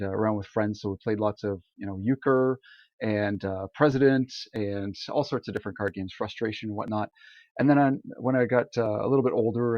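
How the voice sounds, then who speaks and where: A man's voice: fairly low pitch, a little mellow, dry recording, muffled recording. A man speaking casually as a guest on a podcast about his hobby. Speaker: podcast guest, board game designer. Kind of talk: casual chat.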